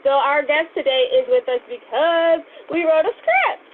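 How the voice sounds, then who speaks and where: A woman with a high voice chats casually as a podcast co-host. Her pitch moves in big animated swings and she exclaims readily. The audio is muffled and narrow. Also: normal speed, a little hiss or hum.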